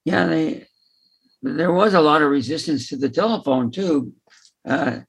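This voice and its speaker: A man in a casual group discussion, talking in a halting way and repeating words. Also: a little high, vocal fry, slightly rough. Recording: slightly dull lo-fi call audio.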